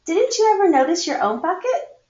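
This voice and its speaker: A woman voicing a gentle, wise grandfather patiently explaining something to a grandchild in a picture book. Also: very high-pitched, big pitch swings, fairly quick, reverberant, lo-fi sound, pitched above the performer's own voice. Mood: gently reproving.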